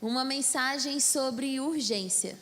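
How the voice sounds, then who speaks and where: A woman's voice: high-pitched, slow pace, a bit bright. A Brazilian woman interpreting a sermon live, echoing each phrase right after the preacher. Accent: Brazilian Portuguese. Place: church hall. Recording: reverberant hall with faint music.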